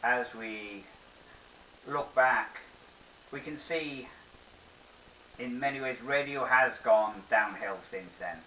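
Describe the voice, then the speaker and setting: An older man reflects nostalgically in a measured, slow delivery. His voice has a bright, firm edge. He sounds set back from the microphone in a roomy, echoing space. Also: medium pitch. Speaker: presenter. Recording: roomy echo, distant mic, faint hiss.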